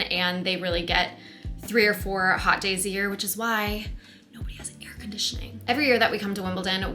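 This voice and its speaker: Bright-voiced young American woman vlogging. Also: medium pitch. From United States. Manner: chatty, candid, quick asides. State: hoarse, losing her voice. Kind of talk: casual vlog chat. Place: rented house indoors.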